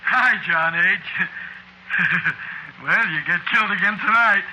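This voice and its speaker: A blithe sound man from an old radio play. His voice is high and very bright, drawn out slowly in a casual, giggly drawl. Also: exaggerated voice. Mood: blithe, amused. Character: blithe male sound man announcing a killing. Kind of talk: vintage radio drama acting. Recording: noisy vintage radio recording.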